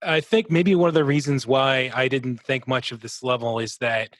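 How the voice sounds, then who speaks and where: A man co-hosting a casual gaming podcast, thinking aloud in a halting way and often repeating his words. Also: medium pitch, fairly even intonation, fairly bright tone, no room sound. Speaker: podcast co-host.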